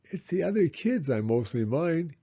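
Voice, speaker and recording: Man voicing a glum young boy, higher-pitched. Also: sing-song, warm tone, husky, lo-fi sound, exaggerated voice. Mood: hurt. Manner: plaintive, drawn-out. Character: flat young boy hero, hurt and glum.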